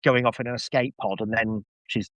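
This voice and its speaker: A man's voice: medium pitch, steady tone, a little fast, a bit bright, no room sound. A man speaking as a podcast guest in a casual review chat, effusive and enthusiastic in the way he talks.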